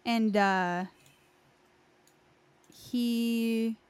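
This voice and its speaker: A woman's voice: on the high side, fairly even intonation, drawn-out words, clear, resonant tone, dry recording. A woman hosting a podcast, chatting informally and recounting lore in a conversational way. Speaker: podcast host. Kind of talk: casual chat, recounting game lore.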